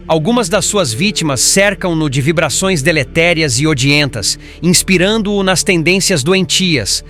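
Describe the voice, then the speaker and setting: A man voicing a patient, solemn, learned spirit instructor in formal, measured audiobook narration. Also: fairly high pitch, fairly bright tone, lightly acted.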